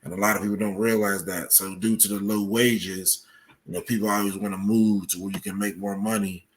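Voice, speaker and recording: A man giving explanatory answers as an interview guest, speaking in a halting way with frequent filler phrases. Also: lowish voice, level tone, poor audio. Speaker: podcast guest, researcher.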